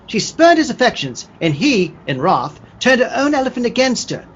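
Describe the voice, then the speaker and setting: A man playing a pompous, dramatic gentleman sidekick in an old-time radio play, full of old-fashioned exclamations. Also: very high-pitched, sing-song, moderate pace, heavily acted. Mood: grandiose wonder. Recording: muffled video-call audio with faint noise.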